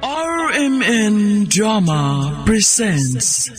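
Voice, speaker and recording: A Cebuano Filipino man introduces a radio drama in scripted narration. He has a high voice and an unhurried pace, with music clearly behind him. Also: sing-song.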